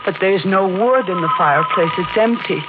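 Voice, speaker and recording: An actress playing an anxious, haunted widow in a 1940s radio thriller, speaking haltingly and repeating her words. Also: medium pitch, heavy background noise, natural voice. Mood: terrified. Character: frightened, fatalistic young widow, fearing her dead husband. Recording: muffled 1940s radio recording, music behind.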